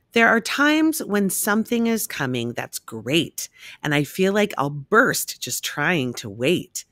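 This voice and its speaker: A woman reading a rhyming children's picture book aloud in an expressive, measured storytelling manner. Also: low voice, dry recording, studio quality.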